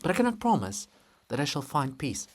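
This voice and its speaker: A man's voice: on the high side, sing-song, brisk. A man voicing a theatrical chorus in a dramatic reading, pleading and questioning insistently. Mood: urgent, exalted. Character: hissing theatrical chorus, feverishly pleading and riddling.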